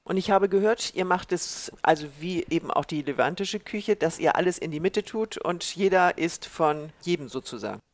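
Podcast host, mid pitch, dry close mic, asks prepared questions. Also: animated, moderate pace, few pauses, no room sound. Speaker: podcast interviewer. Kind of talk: scripted interview questions.

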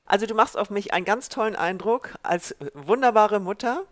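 Podcast host, mid pitch, dry close mic, asks prepared questions. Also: moderate pace, a bit bright, no room sound. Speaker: podcast interviewer. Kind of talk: scripted interview questions.

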